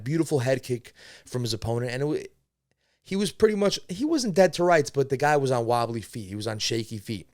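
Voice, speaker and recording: A young man hosts a casual fight podcast in a mid-pitched voice. He rambles and swears as he goes, recorded dry and close with no room sound. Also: no room sound. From born in Spain, raised in America. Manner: rambling, profane, admits to segues. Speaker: podcast host. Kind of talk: casual solo podcast commentary.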